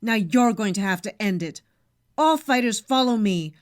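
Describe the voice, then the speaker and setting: A woman's voice: mid-range voice, animated, moderate pace, natural voice. An actress playing a defiant female colonel who leads her fighters in a fan audio drama. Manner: defiant and commanding.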